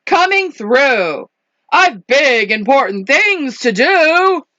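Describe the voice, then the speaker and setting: A woman's voice: high voice, very animated, slow pace, bright, forceful tone, voice pushed up, heavily acted. A woman voicing a big, self-important, bullying male dump truck from a picture book, in a pompous, brusque manner. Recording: echoey room, dull lo-fi sound.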